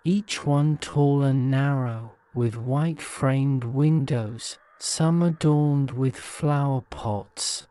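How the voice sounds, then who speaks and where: A man reads a bedtime guided-imagery story at a very slow, measured pace. His voice is soothing and mellow, with a smooth, clean tone. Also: mid-range voice. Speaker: narrator.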